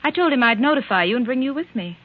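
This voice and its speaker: An actress playing a cool, pointed, jealous wife in 1940s radio mystery style. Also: female voice, sits high, expressive, quick pace. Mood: controlled, calculating. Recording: muffled tinny 1940s radio broadcast.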